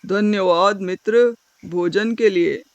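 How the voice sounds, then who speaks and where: A woman voicing a calm, wise, dignified male stork with a polite, measured and gentle manner in an animal fable. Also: mid-range voice, smooth, highs cut off.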